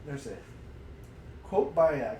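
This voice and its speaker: A man's voice: fairly high pitch, animated, takes it slowly, darkish tone. A man co-hosting a podcast, chatting casually and reading aloud from a book, sometimes stumbling over the words. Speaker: podcast co-host. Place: untreated room, podcast recorded on a live stream. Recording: roomy, distant mic with noticeable noise.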